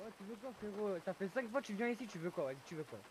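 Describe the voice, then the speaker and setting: Man, high pitch, confrontational, repetitive, slangy, casual game voice chat, faint noise. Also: expressive, fast, rough recording. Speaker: player in an online role-play game.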